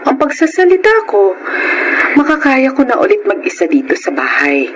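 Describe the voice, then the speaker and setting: A woman's voice: sits high, wildly swooping pitch, normal speed, highs cut off. A woman with a Filipino accent playing an ailing, grateful mother in a radio drama. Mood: grateful, worried. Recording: noisy radio drama recording.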